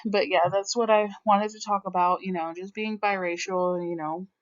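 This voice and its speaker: A woman's voice: medium pitch, fairly even intonation, normal speed. A young American woman talking candidly about her own life in a reflective monologue, full of casual filler phrases. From United States. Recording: slightly dull lo-fi sound.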